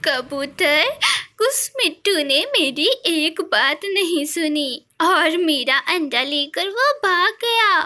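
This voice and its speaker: A woman's voice: extremely high pitch, expressive, takes it slowly, bright, forceful tone, exaggerated voice. A woman voicing an anxious, wronged mother bulbul in a cartoon story, plaintive and pleading. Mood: distressed. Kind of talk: Hindi cartoon story voice acting.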